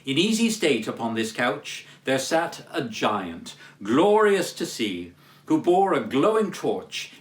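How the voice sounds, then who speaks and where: A man giving a dramatic literary reading as a storyteller, with theatrical, emphatic, measured phrasing. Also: fairly high pitch. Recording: roomy echo, distant microphone.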